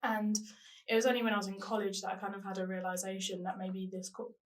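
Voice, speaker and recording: A woman's voice: mid-range voice, flat delivery, fast, far from the mic. A young woman from South London, a paramedic student chatting casually, often laughing and hesitating. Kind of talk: casual chat.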